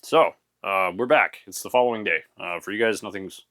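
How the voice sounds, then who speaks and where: A man's voice: fairly low pitch, brisk, a bit bright. Man rambling about his anime collection. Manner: rambling, many fillers and restarts. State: losing his voice. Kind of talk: casual rambling show-and-tell.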